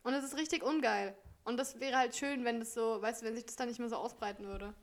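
A woman's voice: fairly high pitch, fast talker. Giggly rambling young woman chatting. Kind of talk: casual chat.

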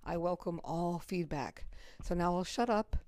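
A middle-aged woman with a raspy voice hosting a podcast, chatting casually and bluntly, swearing freely. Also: fairly low pitch, unhurried, darkish tone, no echo, studio quality. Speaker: podcast host. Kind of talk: casual chat.

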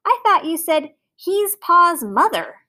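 A woman's voice: very high-pitched, big pitch swings, slow pace, bright, forceful tone, voice pushed up, heavily acted. A woman voicing a mischievous young child in a storybook, with a mock-innocent, sing-song delivery.

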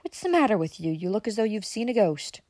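A man's voice: high voice, sing-song, fast talker, a little mellow, no room sound, pitched above the performer's own voice. A woman voicing a scheming, ruthless city-bred male villain in an audiobook, speaking curtly and brusquely. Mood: scornful. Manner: curt, brusque, swearing.

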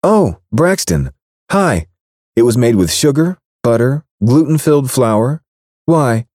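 A man's voice: medium pitch, very animated, slow pace, a little mellow, dry recording, clean studio sound, pitched above the performer's own voice. A man voicing a sly, playful young woman who teases with coy answers. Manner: teasing, coy.

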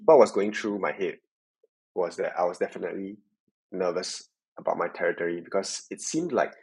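A young man with a Singaporean accent answers as a podcast guest. His voice is fairly low and noticeably husky, and he talks reflectively about his own experience. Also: a little mellow, rough recording. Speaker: podcast guest, tech sales worker. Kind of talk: reflective interview conversation.

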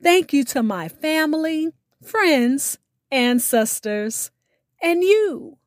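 A woman podcast host reading a scripted sign-off in deliberate, measured phrases with emphatic words. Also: high-pitched, big pitch swings, no echo, clean studio sound. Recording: music playing behind the voice.

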